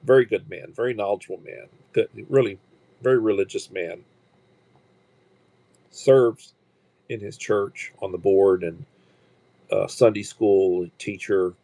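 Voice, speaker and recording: Rambling man in his sixties, low voice. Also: steady tone, warm tone, no echo. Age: 60s. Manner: rambling, halting with repeated restarts. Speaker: livestream host. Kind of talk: casual livestream chat.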